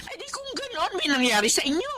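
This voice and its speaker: A fretful, animated Filipino mother. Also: extremely high pitch, quick pace. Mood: upset, scolding. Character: worried, suspicious, scolding older Filipino mother. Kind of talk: radio drama acting. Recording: clearly noisy drama recording.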